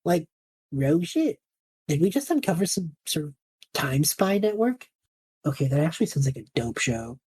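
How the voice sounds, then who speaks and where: Woman playing a husky, cheeky young male student. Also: low-pitched, big pitch swings, moderate pace, a little mellow, exaggerated voice. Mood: casual, teasing. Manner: quippy, breezy. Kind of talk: fantasy audio drama acting.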